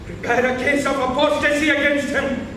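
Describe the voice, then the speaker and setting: A man's voice: extremely high pitch, little pitch movement, normal speed, bright, forceful tone. A man playing a ruthless, pious emperor and domineering father on stage, with an imperious, declamatory delivery. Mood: cold command. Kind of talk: theatrical stage acting. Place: indoor theatre stage, picked up from afar. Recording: distant, echoey theatre hall, clear noise.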